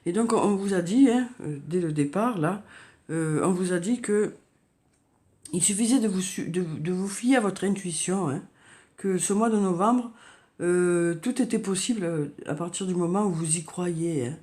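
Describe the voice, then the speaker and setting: A woman with a cold giving a tarot reading, talking softly and hesitantly with many fillers and repeated words. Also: on the low side, moderate pace. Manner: soft, hesitant, frequent "hein" and "euh", repeats words. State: has a cold, coughing. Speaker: tarot card reader. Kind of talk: monthly horoscope card reading.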